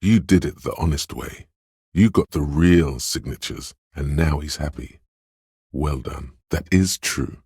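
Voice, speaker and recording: Smooth-talking inner voice of persuasion for a game: extremely low, very husky, insinuating advisor. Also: male voice, a little mellow, no echo, clean studio sound, full character voice. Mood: gently guiding. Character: suave, coaxing inner voice of persuasion, male. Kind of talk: video game voice acting, advisory narration.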